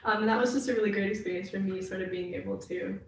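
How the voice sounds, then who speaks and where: Young adult co-host from the United States, mid pitch, careful and self-deprecating, far off mic with a faint hiss. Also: steady tone, fast talker, vocal fry. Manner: thoughtful, hedging, self-deprecating. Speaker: podcast co-host. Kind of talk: casual podcast chat. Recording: very echoey, distant from mic.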